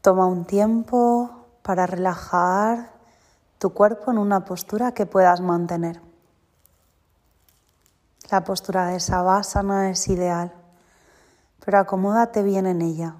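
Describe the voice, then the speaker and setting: A woman guiding a yoga nidra relaxation as a teacher, giving short, soothing, deliberate instructions. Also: medium pitch, steady tone, a little mellow. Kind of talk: guided yoga nidra meditation. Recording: long reverberant hall-like echo.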